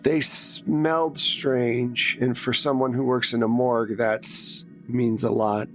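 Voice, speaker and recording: A man's voice: medium pitch, steady tone, slow, a bit bright, soft background music. A man playing a rattled, helpful morgue worker in a tabletop game, hesitant and stammering. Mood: uneasy.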